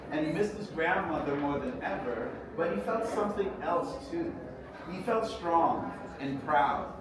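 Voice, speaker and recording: A man reads a children's story aloud as its author, theatrically, with expressive delivery and dramatic pauses. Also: sits high. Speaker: author reading own book. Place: echoey indoor event space. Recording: echoey room, distant mic, noisy background.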